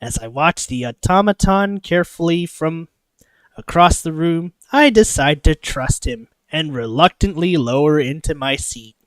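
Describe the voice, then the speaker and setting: An expressive streamer reading a visual novel aloud and breaking off into chatty, rambling asides. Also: medium pitch, a little slow, no room sound. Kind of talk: live playthrough, narration with character voices and asides.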